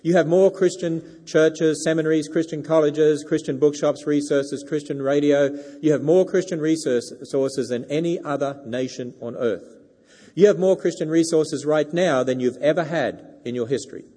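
A man's voice: a little high, normal speed, warm tone. A man with an Australian accent giving a sermon to a church congregation on a handheld mic, folksy and dropping joking asides. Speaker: guest speaker. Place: church sanctuary. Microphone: wireless handheld microphone. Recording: reverberant hall.

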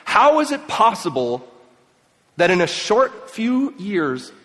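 A man raised in Mexico and Ecuador, giving an emphatic speech to an audience, swinging between loud and quiet. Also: high-pitched, very animated, takes it slowly. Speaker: speaker. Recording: long hall reverb.

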